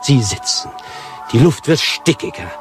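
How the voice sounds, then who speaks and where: An actor playing a pompous male artist in a radio play, declaiming dramatically with drawn-out pauses. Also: medium pitch, very animated. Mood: dramatic suspense. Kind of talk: theatrical radio play monologue.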